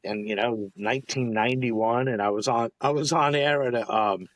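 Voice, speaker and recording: A man's voice: medium pitch, moderate pace, fairly bright tone, no room sound, poor audio. A man in his fifties with an American accent, hosting a podcast in casual, rambling chat full of fillers. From Capital Region of New York. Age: 50s. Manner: rambling, filler-heavy storytelling with lots of laughs. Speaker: podcast host.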